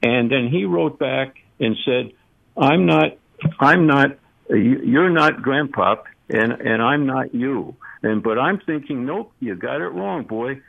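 A man of eighty calling in to a radio show, speaking in a halting, reflective way with many fillers. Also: mid-range voice, lively intonation, vocal fry. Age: 80s. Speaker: caller. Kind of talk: call-in radio chat. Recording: phone line.

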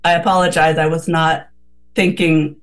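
A woman's voice: sits low, level tone, normal speed. A woman co-hosting a casual radio talk, speaking earnestly and reflectively with many restarts.

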